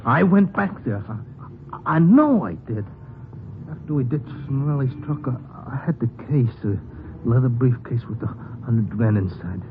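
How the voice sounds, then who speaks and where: A man performs a haunted, desperate ex-convict in old radio drama style. He stammers and rambles at a dragging pace, his pitch lurching widely as he pleads. The broadcast is narrow and noisy. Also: lively intonation, measured pace, close to an everyday voice. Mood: insistent, agitated. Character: desperate, unhinged older ex-convict haunted by lost money. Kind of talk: 1950s radio drama acting.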